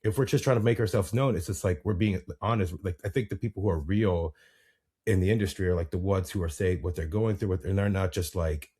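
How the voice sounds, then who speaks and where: A man with a very low voice and a husky, breathy edge. He hosts a podcast in a loose, rambling way, stacking fillers and restarting his sentences. He grew up in the United States. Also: a little fast, warm tone. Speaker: podcast host. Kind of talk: casual interview chat.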